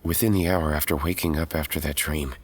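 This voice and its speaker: A man's voice: very deep voice, fairly even intonation, brisk, noisy background. A man playing an earnest young hero, narrating and acting his own story in a dramatic audio drama style. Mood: earnest, unsettled. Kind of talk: first-person audio drama narration and dialogue.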